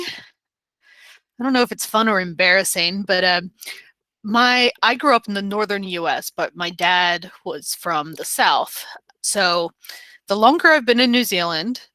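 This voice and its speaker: A woman's voice: mid-range voice, bright, forceful tone, no room sound. A woman from rural Pennsylvania who works in QA, introducing herself on a video call with wry jokes. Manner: wry, joking. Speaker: QA worker.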